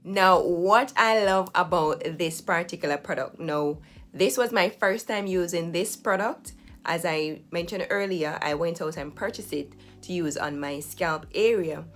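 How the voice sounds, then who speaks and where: Low-voiced woman, slightly hoarse, chatty. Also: unhurried, a bit bright. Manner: chatty, explaining step by step. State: slightly hoarse, recovering from flu. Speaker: hair care vlogger. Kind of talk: casual tutorial talk to camera.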